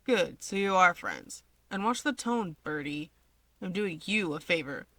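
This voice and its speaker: A woman's voice: lowish voice, expressive, unhurried, bright, forceful tone, no echo, full character voice. A woman voicing a bossy, sardonic young male villain and big brother in a story reading, dryly threatening and teasing. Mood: smug, dry.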